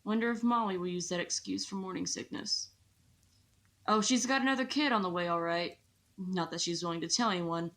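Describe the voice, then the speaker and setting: A woman's voice: fairly low pitch, fairly quick, fairly bright tone. A woman playing a sickly young working-class match girl in an audio drama, blunt, wry and caring like a big sister.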